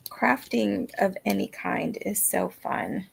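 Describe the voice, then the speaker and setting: A woman with heavy vocal fry chats casually as a livestream host. She takes her time, speaking slowly and loosely. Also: medium pitch. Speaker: livestream host of crafting sprints. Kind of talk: casual chat.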